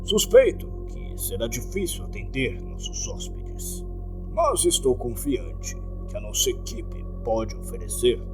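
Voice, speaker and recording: A man voicing a frail, evasive elderly hotel owner in a horror story, speaking in a halting, measured, formal way. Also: medium pitch, huge pitch swings. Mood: hesitant, guarded. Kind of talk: horror audio story dialogue. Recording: music behind the voice.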